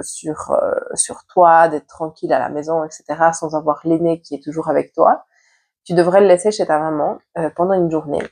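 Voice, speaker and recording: A woman's voice: on the low side, a little mellow, slightly rough. A woman, stuffy with a head cold, telling a personal story to camera at home in a chatty, candid way, with many hesitation fillers. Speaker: vlogger and mother. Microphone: external mic plugged into a camera.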